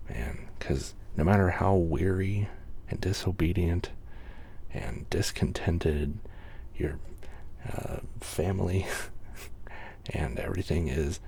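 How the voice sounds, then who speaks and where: A man reading bedtime stories in soft-spoken ASMR style, slow and breathy, with wry casual asides. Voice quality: deep, soft, breathy near-whisper. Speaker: ASMR reader.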